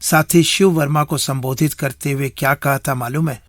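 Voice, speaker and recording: A man's voice: fairly high pitch, fairly even intonation, moderate pace, fairly bright tone, dry recording, clean studio sound. A man narrating a scripted historical radio drama in a solemn, rhetorical manner.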